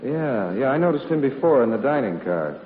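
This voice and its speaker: An actor playing a casual, wry American fellow in an old comedy film, talking in an offhand way. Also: male voice, mid-range voice, sing-song, brisk, lightly acted. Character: wry, casual fast-talking American man, actress's acquaintance. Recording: tinny, echoey old film soundtrack, faint noise.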